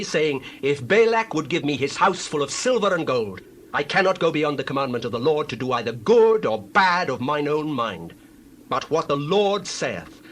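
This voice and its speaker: A solemn prophet, played by a man, declaims in a slightly high male voice over faint ambient noise. He delivers oracles with measured pauses, in the grand manner of a Bible drama. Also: a bit bright. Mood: firm, defiant.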